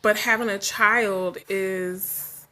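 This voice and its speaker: A woman's voice: mid-range voice, steady tone, drawn-out words, sharp tone. A woman in her forties talking casually to camera in a vlog, frank and chatty, laughing often and drifting into asides, just woken up. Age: 40s. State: just woke up. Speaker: vlogger.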